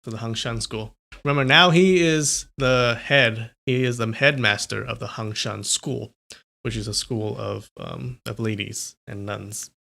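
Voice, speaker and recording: Gravelly young man reading aloud. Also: medium pitch, measured pace, sharp tone. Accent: Californian. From California. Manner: chatty, answers chat between passages. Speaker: host reading a novel aloud. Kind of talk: casual livestream reading and chat.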